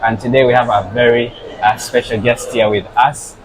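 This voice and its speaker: A man's voice: mid-range voice, steady tone, moderate pace, noisy background. A man hosting an interview, asking questions and giving short affirming interjections. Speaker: host.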